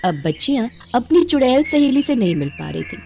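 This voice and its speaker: A woman's voice: fairly high pitch, sing-song, brisk, vocal fry, warm tone. A woman storyteller giving scripted narration of a children's bedtime story. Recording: music behind the voice.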